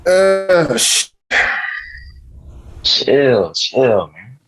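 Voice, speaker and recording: Man playing a slow-talking cagey robber. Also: mid-range voice, sing-song, vocal fry, music in the background, full character voice. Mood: feigned calm. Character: cool, cagey male bank robber. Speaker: actor in a script table read.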